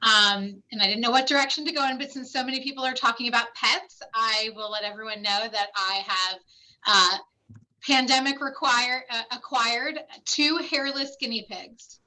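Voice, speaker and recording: A woman walks through a webinar presentation in a clear, explanatory way, her voice sitting fairly high. The tone is bright and forward. She speaks over a video call. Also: steady tone, normal speed, low-quality recording. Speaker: clinical site director presenting a webinar.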